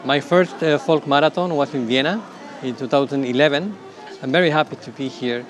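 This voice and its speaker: Slightly high-voiced man from Chile. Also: faint music behind. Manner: halting, repeating words. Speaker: festival participant. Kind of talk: festival interview.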